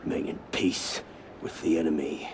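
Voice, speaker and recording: An actor playing a bitter, zealous veteran assassin mentor in a video game, speaking with scorn and passion. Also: male voice, extremely low pitch, fairly even intonation, measured pace. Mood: grave, intense. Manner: impassioned, scornful, rhetorical, swearing. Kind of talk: dramatic video game acting. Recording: loud music over the voice.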